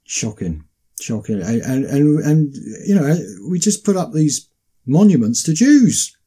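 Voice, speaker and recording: Male talk host, dark husky voice, conversational, leans on repeated phrases. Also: fairly high pitch, very animated, unhurried. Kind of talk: casual discussion with read-out quotations.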